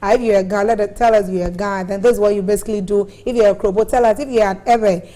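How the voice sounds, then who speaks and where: A Ghanaian woman hosting a studio talk show, chatty and quick with murmured agreement. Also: medium pitch, steady tone, dark tone. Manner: frequent murmured affirmations, chatty.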